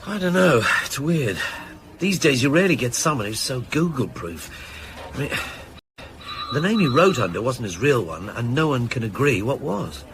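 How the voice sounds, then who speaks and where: Lively, casual male junior detective. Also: medium pitch, moderate pace, noisy background. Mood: matter-of-fact. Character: frank, keen male junior police detective briefing boss. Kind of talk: naturalistic radio drama dialogue.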